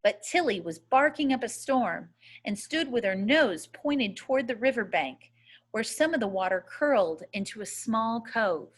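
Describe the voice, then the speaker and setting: A woman reading a first-person novel aloud as a storyteller, in a measured, expressive way. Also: on the high side. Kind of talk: audiobook-style readaloud of a first-person children's novel.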